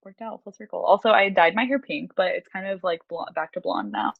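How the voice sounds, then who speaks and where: A woman's voice: on the low side, sing-song, fairly quick, gravelly, dry recording. A young American woman chatting casually as a podcast guest over a phone video call, in a chatty, self-deprecating way. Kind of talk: casual chat. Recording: dull, lo-fi video-call audio.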